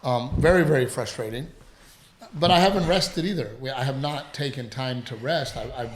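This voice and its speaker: Male co-host, casual home podcast, a bit hoarse with a cough, meandering delivery, roomy sound. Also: medium pitch, slight background noise. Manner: rambling, halting, full of restarts. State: lingering dry cough. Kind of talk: casual chat.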